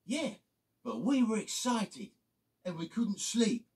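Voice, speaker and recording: A storyteller doing an excitable little car. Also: medium pitch, takes it slowly, pitched above the performer's own voice. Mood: excited. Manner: eager, bubbly. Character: excitable little toy car, loud giggler.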